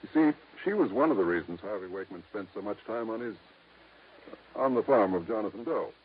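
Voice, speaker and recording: An actor playing a measured, discreet lawyer with a formal, deliberate way of talking in a 1950s radio drama. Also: male voice, on the low side, animated, a little hiss or hum, close to an everyday voice. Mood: dry, guarded. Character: measured, discreet small-town lawyer guarding a secret. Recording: tinny, narrow-band vintage radio recording.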